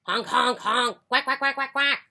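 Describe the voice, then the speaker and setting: A woman plays a gaggle of storybook ducks amid honking cars. The calls are short, loud and insistent, pitched well up high and repeated over and over. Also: takes it slowly, heavily acted. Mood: noisy, insistent. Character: honking cars and quacking mother duck with ducklings.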